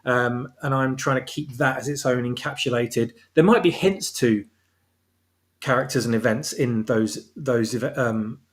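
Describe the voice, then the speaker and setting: Guy guest author chatting, normal pitch, kinda halting, explains stuff and repeats words. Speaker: guest author of fan fiction audio dramas. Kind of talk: casual discussion.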